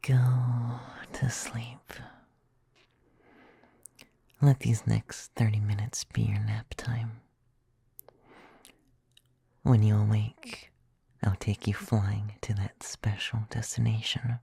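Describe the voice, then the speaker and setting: A man voicing a gentle, caring young vampire in an ASMR roleplay, with soft, breathy, soothing and intimate whispering. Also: lowish voice, flat delivery, no room sound, exaggerated voice. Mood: soothing, hushed. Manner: soothing, slow, tender.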